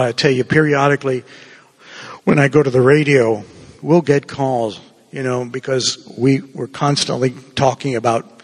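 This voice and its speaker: Man, Cuban-born priest, husky voice, long church reverb. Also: medium pitch, a bit bright. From Cuba. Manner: conversational, digressive preaching with asides. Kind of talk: homily.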